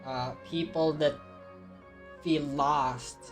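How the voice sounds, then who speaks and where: A woman's voice: low-pitched, fairly even intonation, extremely slow. A woman whose native language is Filipino, streaming a game casually and reading dialogue choices aloud. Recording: game music behind. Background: game music.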